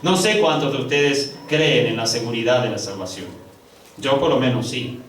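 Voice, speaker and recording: A male pastor preaching with sharp swings between soaring high stresses and quiet, low stretches. He draws words out slowly for weight and talks straight to his congregation as brothers. His voice rings through a reverberant hall, picked up from some distance. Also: slight background noise. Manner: addresses congregation as brothers, slow drawn-out stresses. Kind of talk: sermon. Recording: echoey room, picked up from a distance.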